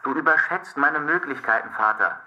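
An actor playing an earnest, defensive adult son in a radio drama, pleading yet restrained. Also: male voice, a little high, normal speed, muffled recording, lightly acted.

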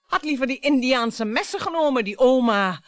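A woman's voice: high voice, expressive, normal speed, no echo. A woman in a Dutch radio play acting a bitter, sarcastic wife who needles her husband in a theatrical, storytelling way. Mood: bitter, sardonic.

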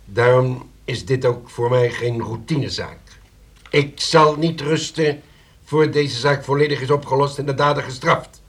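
An actor playing a stern, sardonic police commissioner who questions with dry irony in a radio drama. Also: male voice, mid-range voice, measured pace, close to an everyday voice. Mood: probing, grave. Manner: probing, dryly ironic. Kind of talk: Dutch radio drama acting.

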